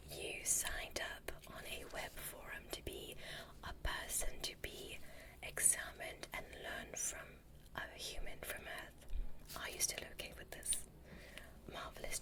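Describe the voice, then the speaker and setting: Woman to play courteous alien space doctor for ASMR roleplay; hushed whisper, unhurried, soothing instructions. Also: natural voice. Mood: welcoming, reassuring. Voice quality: soft breathy whisper. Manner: slow, soothing, gently instructing. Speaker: ASMR performer.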